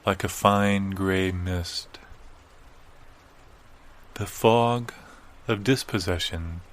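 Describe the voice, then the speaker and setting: A man in improvised audio comedy playing a solemn, pseudo-profound sage, delivering tangled wisdom deadpan with weighty pauses. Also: sits low. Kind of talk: improvised audio comedy, mock-meditative pronouncements.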